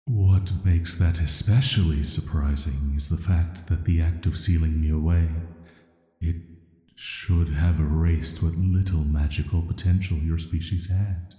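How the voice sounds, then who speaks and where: A man playing an ancient cursed villain in a sleep-aid audio roleplay, speaking in a soft, flat, soothing and intimate way, flirty yet dangerous. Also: low-pitched, a little slow. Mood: calm, admiring. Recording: echoey, long reverb.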